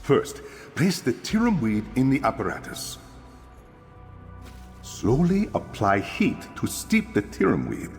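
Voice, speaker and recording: A friendly, theatrical fantasy game innkeeper, eager to coach and tinker. His pitch leaps all over, and the voice rings with long reverb over background music. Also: wildly swooping pitch, unhurried, gravelly, long pauses, darkish tone, heavily acted. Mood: proud, instructive. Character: chatty male innkeeper, eager amateur drink inventor. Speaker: innkeeper giving instructions. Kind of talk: fantasy video game voice acting.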